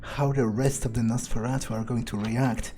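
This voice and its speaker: A man's voice: medium pitch, fairly even intonation, normal speed, warm tone, soft background music. A man roleplaying through a video game, thinking aloud in a hesitant, sardonic, sometimes profane way. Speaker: game player roleplaying a character.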